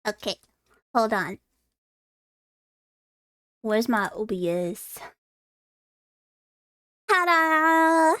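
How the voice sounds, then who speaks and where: A woman's voice: fairly high pitch, very animated, drawn-out words, long pauses, a bit bright, clear, resonant tone, dry recording. A young woman live streaming a game, chatting casually and hesitantly, rambling as if talking to herself. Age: young adult.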